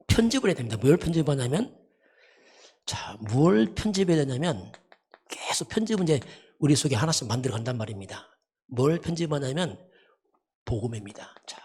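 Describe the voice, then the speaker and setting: A pastor giving a sermon in an emphatic, repetitive teaching manner, often posing rhetorical questions, with a husky voice. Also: medium pitch, a little mellow. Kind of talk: dawn service sermon.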